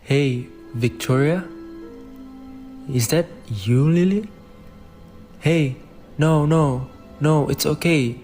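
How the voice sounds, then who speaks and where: A man playing a kind uncle who speaks gently and reassuringly. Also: fairly high pitch, slow, long pauses, soft background music. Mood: coaxing.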